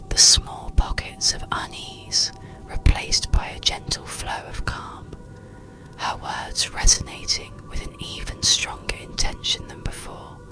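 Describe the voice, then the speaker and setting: A narrator telling a bedtime story in a slow, soothing, breathy ASMR whisper. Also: poor audio. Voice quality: breathy, unvoiced whisper with creak.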